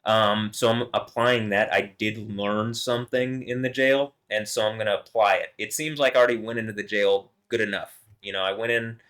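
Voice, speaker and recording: A man's voice: steady tone, a little fast, hardly any pauses, fairly bright tone, clear, resonant tone. A man vlogging alone, rambling and swearing, often chuckling and trailing off mid-sentence. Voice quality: deep voice. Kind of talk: rambling solo monologue to camera.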